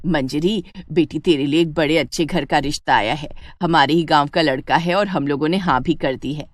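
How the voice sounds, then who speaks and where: Lady doing an older village mom, lower voice, motherly n fussy, storybook, very dry sound. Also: normal speed, no echo. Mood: pleased. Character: fussing older village mother of grown daughter.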